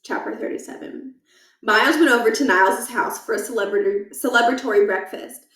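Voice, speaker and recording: A woman narrating a children's book aloud in an expressive storytelling style. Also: a little high, a little fast, echoey room.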